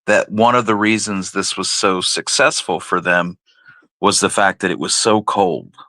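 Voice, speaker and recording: A man co-hosting a radio show, casually discussing and asking questions in a measured, reflective way. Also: sits low, bright, forceful tone, no echo.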